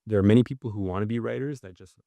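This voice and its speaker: Low-voiced man, thoughtful guest, dry sound. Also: racing, vocal fry, warm tone, no echo. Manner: thoughtful, with many filler "like"s and restarts. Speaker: guest, writer and journalist. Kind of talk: conversational interview answers.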